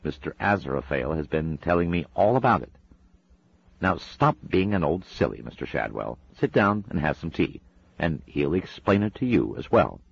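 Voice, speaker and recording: A man's voice: very deep voice, sing-song, normal speed, gravelly, slightly rough, dry recording, muffled recording, voice pushed up, exaggerated voice. A man doing a chiding motherly landlady. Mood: gently chiding. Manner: gently chiding, homely.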